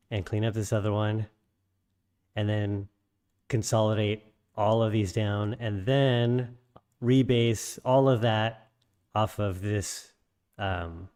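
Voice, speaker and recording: Low, slightly raspy male instructor; casual, halting explainer full of ums and restarts. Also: a little mellow. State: slightly raspy after illness. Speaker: programming instructor. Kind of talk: screen-share coding tutorial.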